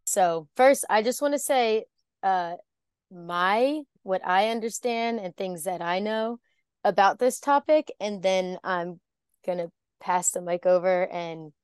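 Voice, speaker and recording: A woman's voice: medium pitch, slow, clean tone, no echo, clean studio sound. A young woman in her twenties hosting a podcast, talking earnestly and casually with some fillers and self-corrections. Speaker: podcast host.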